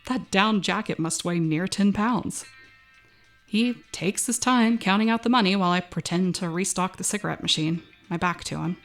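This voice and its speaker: A woman's voice: medium pitch, normal speed, soft background music, close to an everyday voice. A woman playing an uneasy, wry young female cashier, narrating an audio drama in a wary, conversational first person. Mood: wary fascination.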